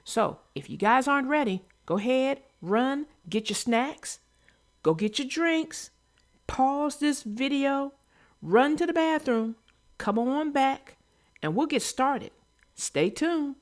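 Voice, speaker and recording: A woman's voice: high voice, animated, takes it slowly, no echo, studio quality. A woman narrating a children's read-aloud story, addressing young listeners directly as host.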